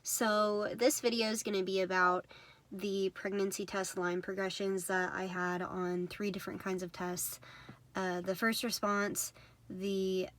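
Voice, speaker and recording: A woman with a high, girlish, nasal voice from a stuffed-up nose, talking casually to camera with many fillers and apologies. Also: flat delivery, a little slow, a bit bright. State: congested from sneezing. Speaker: vlogger. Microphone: phone.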